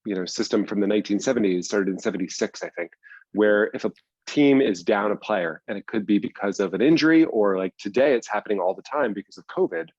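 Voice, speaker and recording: A man's voice: on the low side, fast, darkish tone, no room sound, muffled recording. A man answering as an interview guest in a conversational, explanatory way, stressing points by repeating them. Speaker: guest, screenwriter and audio drama producer.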